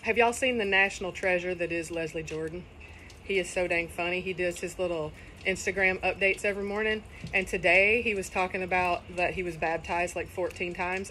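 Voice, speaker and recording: A woman's voice: mid-range voice, sharp tone. Middle-aged woman, casual cooking vlog, outdoors on a phone, chatty asides, faint background noise. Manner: chatty, rambling, folksy asides. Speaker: cooking vlogger. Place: outdoors, sitting in a kiddie pool in a garden. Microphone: phone camera on a table.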